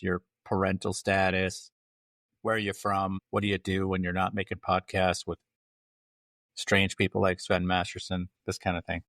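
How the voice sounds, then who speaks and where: A man hosting a podcast interview, asking earnest, measured questions. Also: sits low, fairly bright tone, no echo. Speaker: podcast host.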